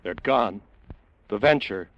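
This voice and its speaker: A man playing an awed, solemn American radio news reporter in a vintage radio drama, dramatic and pausing for effect, on an old noisy recording. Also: on the low side, lively intonation, muffled recording. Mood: hushed tension. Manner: dramatic, hushed pauses, repeats words for effect. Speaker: field news correspondent. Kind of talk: 1940s-50s radio drama live news commentary.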